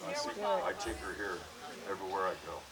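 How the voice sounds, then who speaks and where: An older man holds a handheld mic in a backyard and speaks to a gathered crowd, his voice low and loose with fillers. The recording picks him up from a distance, with the murmur of many guests around him. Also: wildly swooping pitch, fairly quick. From Hawaii. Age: 60s. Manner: rambling, joking, with fillers and restarts. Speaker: widower hosting a memorial gathering. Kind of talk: casual heartfelt speech to a crowd. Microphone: handheld microphone.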